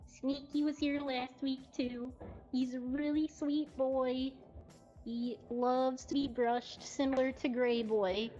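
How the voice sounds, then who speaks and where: A woman with a highish voice presents casually on a livestream, taking her time as she chats. She sounds giggly and informal. The audio is a little dull, with music playing softly behind her. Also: flat delivery, takes it slowly. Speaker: cat cafe host presenting adoptable cats. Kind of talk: casual unscripted livestream narration. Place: cat cafe. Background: faint music.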